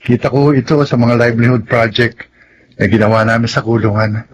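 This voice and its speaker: A man's voice: medium pitch, steady tone, fairly quick, natural voice, poor audio. A man with a Filipino accent playing a remorseful, hopeful ex-convict father in a radio drama, earnest and respectful. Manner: earnest, respectful to his mother. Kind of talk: Tagalog radio drama acting.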